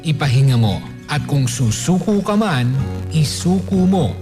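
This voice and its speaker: A man's voice: on the high side, very animated, unhurried, warm tone. A man announcing on the radio, reading scripted inspirational plugs and station IDs in an earnest, reassuring way. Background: music bed.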